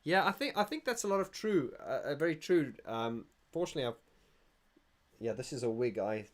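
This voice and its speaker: A man's voice: mid-range voice, animated, a little fast. A middle-aged man from South Africa hosting a casual livestream, reading viewer comments aloud and rambling with frequent restarts. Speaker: livestream host.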